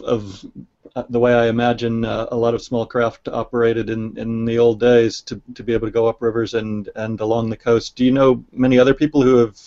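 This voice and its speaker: A man's voice: medium pitch, a little mellow. Hesitant man host on a call. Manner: hesitant questions with fillers. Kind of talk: casual video call interview. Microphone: video call. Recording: dull lo-fi video call audio.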